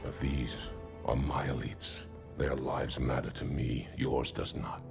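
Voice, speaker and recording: An actor playing a proud, stern alien elite warrior commander in a video game cutscene, speaking solemnly. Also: male voice, very deep voice, steady tone, slow, gravelly, full character voice. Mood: stern, cold. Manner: solemn, declamatory. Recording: loud music over the voice.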